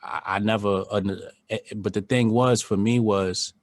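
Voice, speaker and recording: A man's voice: on the low side, steady tone, measured pace, no echo. A man speaking as a podcast guest, earnest and reflective as he talks from personal experience. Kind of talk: candid conversation.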